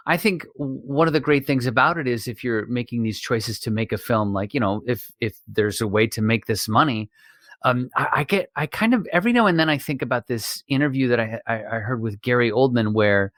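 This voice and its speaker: A man with a medium-pitched voice co-hosts a podcast. He speaks casually and conversationally, and the recording sounds dry, with little room around the voice. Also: brisk, a bit bright, no room sound. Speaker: podcast host.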